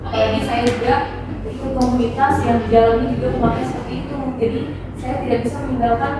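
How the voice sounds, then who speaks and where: A woman acts out a young immigrant man caught in alcohol and cannabis use, telling his story haltingly. The voice stays flat and fairly high, heard from far off in a very echoey room. Loud noise runs behind it. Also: natural voice. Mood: confessional. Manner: halting, many hesitations. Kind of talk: classroom counseling role-play.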